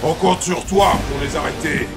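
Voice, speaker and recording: A man's voice: on the high side, steady tone, moderate pace, gravelly. A man voicing a ruthless male pirate captain who barks orders in a blustering, commanding way. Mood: barking orders. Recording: echoey room with music.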